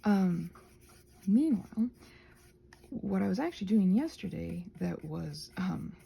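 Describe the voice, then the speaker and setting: A woman chatting casually and playfully while crafting, often interrupting herself with trailing asides, her voice breathy and often dropping to a near-whisper. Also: mid-range voice, lively intonation, slow pace, dark tone, slight background noise. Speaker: craft and ASMR video creator. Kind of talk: casual rambling vlog chat while crafting.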